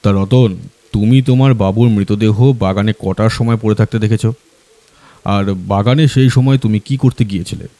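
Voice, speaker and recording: Actor as a stern, dark-voiced detective. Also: male voice, fairly low pitch, no room sound, lightly acted. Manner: firm, measured, authoritative questioning. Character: stern, composed male private detective questioning suspects. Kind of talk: Bengali audio drama acting.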